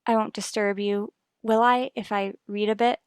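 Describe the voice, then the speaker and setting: A woman's voice: medium pitch, steady tone, moderate pace, clear, resonant tone, dry recording, studio quality, natural voice. Woman acting a guarded, troubled radio-soap wife. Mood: shaken, anxious. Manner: evasive, restrained politeness. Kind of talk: old-time radio soap opera acting.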